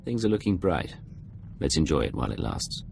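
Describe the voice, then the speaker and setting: An actor playing a cool, commanding Imperial secret agent in a video game, speaking in a measured, authoritative way. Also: male voice, extremely low pitch, big pitch swings, gravelly, darkish tone, full character voice. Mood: warm, wry.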